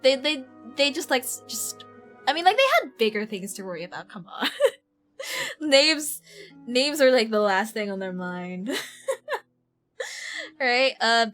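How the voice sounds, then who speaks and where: Giggly high-voiced woman livestreamer over music. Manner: giggly, animated, rambling, slangy. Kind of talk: casual livestream chat while playing a game. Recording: game music behind the voice. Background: video game music.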